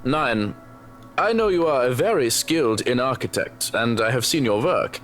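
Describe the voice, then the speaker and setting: A man's voice: medium pitch, animated, normal speed, a bit bright, lightly acted. A man playing a firm, proprietary chef with a German accent in a visual novel game. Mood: firm, polite. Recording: music behind the voice.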